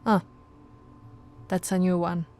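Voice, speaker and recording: A woman voicing a patient, teasing female police officer in an ASMR audio roleplay, speaking softly and coaxingly to the listener, recorded close to the mic. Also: fairly low pitch, little pitch movement, takes it slowly. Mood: wry. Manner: soft-spoken, coaxing, playfully flirtatious. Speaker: ASMR voice actress.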